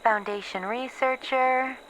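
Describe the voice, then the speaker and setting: Woman acting a slow, bitter, weary female researcher. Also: high voice, fairly bright tone, slight background noise, poor audio. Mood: melancholy, musing. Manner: halting, sighing, sardonic, sweary. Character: bitter, weary female researcher losing her memories, hiding alone. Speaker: voice actor in an audio roleplay. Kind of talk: ASMR horror roleplay monologue, acted audio log.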